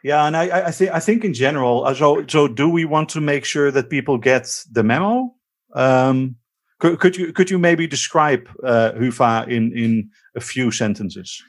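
A man with a Dutch accent hosting a podcast in casual, joking chat, with halting, stammering speech. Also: mid-range voice. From Netherlands. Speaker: podcast host.

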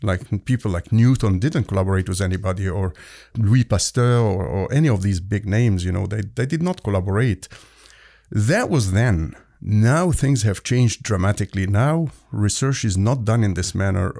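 A man in his forties, originally from Egypt, a professor speaking as a guest in a conversational interview, explaining at length with frequent pauses. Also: lowish voice, vocal fry, mellow, dry recording, studio quality. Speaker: physics and chemistry professor, guest.

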